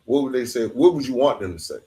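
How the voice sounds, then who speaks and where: A man hosting a conversational podcast interview in a halting, reflective, gently affirming way. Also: mid-range voice, expressive, a little hiss or hum.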